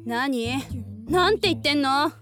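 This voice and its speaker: An actress playing a blunt, sharp-tongued woman of twenty who talks rudely and casually. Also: high voice, slow, music in the background. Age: 20s. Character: blunt, irritable 20-year-old woman. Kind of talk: radio drama acting.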